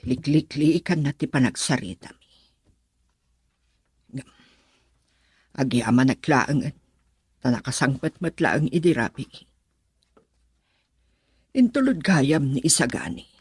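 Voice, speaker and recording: Woman as a matchmaking mom: slow, husky, musing, lively. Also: a bass voice, no room sound, exaggerated voice. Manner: slow, musing, warmly excited on the phone. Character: worried middle-aged mother, scheming matchmaker. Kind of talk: Ilocano radio drama acting.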